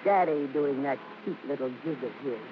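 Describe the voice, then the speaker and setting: An actress playing a daughter who narrates fond family memories in a radio drama, with a wry storytelling lilt. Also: female voice, deep voice, moderate pace, lightly acted. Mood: fond, amused. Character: daughter fondly narrating childhood memories of her showbiz parents. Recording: noisy, dull vintage radio recording.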